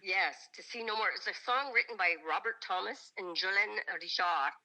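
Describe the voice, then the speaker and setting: Lady, 60s, sick with a cold, raspy gravelly voice, super bright tone, chatty. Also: medium pitch, normal speed, rough recording. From Atlantic Canada. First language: French. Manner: chatty, laughs often, expressive. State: hoarse from a bad cold. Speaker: singer guest. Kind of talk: casual interview chat.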